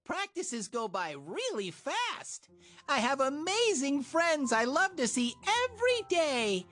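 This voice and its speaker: A woman's voice: sits high, sing-song, slow, a bit bright, full character voice. A woman voicing a peppy, sporty cartoon child, speaking enthusiastic sing-song rhymes for a preschool game. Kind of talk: scripted rhyming children's game narration.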